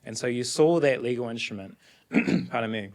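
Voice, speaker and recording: A man speaking as a podcast guest, earnest and measured, weaving Māori words into his speech. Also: medium pitch. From New Zealand. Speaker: guest, climate activist. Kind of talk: podcast interview.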